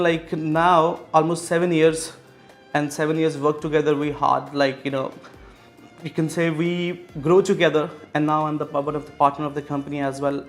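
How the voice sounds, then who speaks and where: A man from Pakistan in his thirties, a business guest answering interview questions with many fillers and restarts. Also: on the high side. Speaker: real estate executive guest.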